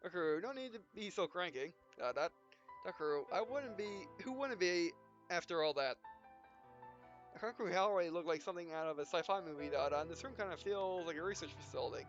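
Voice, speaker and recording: A man with a fairly high voice reads game text aloud in a casual, stumbling way, voicing the punctuation too. The sound is dull and lo-fi, with clear game music underneath. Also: expressive. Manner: halting, reads punctuation and speaker names aloud. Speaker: let's player reading visual novel text aloud. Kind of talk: casual gameplay read-aloud with asides.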